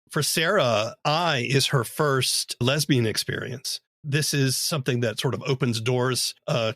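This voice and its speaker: A man's voice: mid-range voice, fairly bright tone, no room sound, clean studio sound. A man hosting a podcast interview, asking thoughtful, probing questions. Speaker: podcast host.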